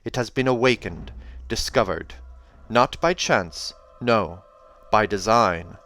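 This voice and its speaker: A man's voice: fairly low pitch, slow pace, fairly bright tone. A man voicing a savage young female seer from a fantasy audiobook, mystical and haunted, speaking in dramatic, incantatory fashion. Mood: ominous, trance-like. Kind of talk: audiobook character acting.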